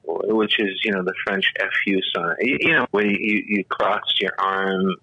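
A man in his sixties chats loosely on a radio talk show, circling back and stumbling over his words. His low voice has a bright edge. The sound is dull and lo-fi, like an old broadcast. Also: level tone, unhurried, slightly rough, no echo. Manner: rambling, many fillers and restarts. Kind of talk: casual radio conversation.

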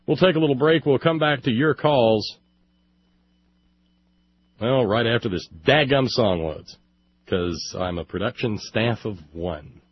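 Male talk radio host, raspy and sardonic, halting and emphatic solo commentary, dull lo-fi broadcast sound. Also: mid-range voice. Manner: sardonic, mock-exasperated. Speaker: radio call-in show host.

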